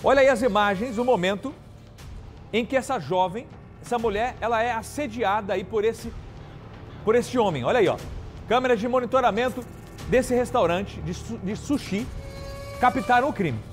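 A man's voice: extremely high pitch, moderate pace, long pauses, faint music behind. A man from anchoring a crime news show, talking emphatically and indignantly straight to viewers. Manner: indignant, repeats and addresses viewers directly. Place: TV studio.

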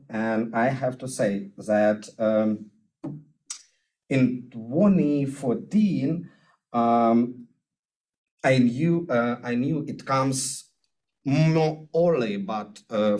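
A middle-aged man from Ukraine with a Ukrainian accent, speaking English as a second language at a podium, halting and searching for words with many fillers. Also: medium pitch, dark tone, poor audio. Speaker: guest speaker. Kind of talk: speech at a podium. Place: lecture room with audience. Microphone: podium microphone.

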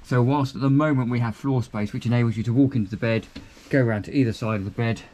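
A man's voice: mid-range voice, quick pace, a little mellow, a little hiss or hum. A man, giving an upbeat walkthrough tour of a small motor boat cabin, filmed on a handheld camera. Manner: chatty, upbeat, pointing out features as he moves. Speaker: boat reviewer.

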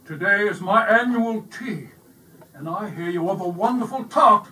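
A man voicing a bossy, bullying woman in a storybook, loud, demanding and snapping. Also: high-pitched, a little slow, recorded from a distance, slight background noise, low-quality recording.

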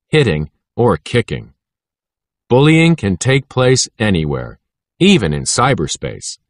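An American man narrates a scripted educational read-aloud with animated, varied intonation. His mid-pitched voice is instructive and clearly enunciated, captured in a dry, close space. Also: dry recording. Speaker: audiobook narrator.

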